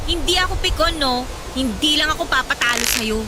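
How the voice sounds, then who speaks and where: A woman's voice: high voice, lively intonation, fairly quick, noisy background. A woman with a Filipino accent playing a feisty, playful young band singer in a radio drama. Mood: mock offended. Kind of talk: Tagalog radio drama dialogue. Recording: loud music bed under the voice.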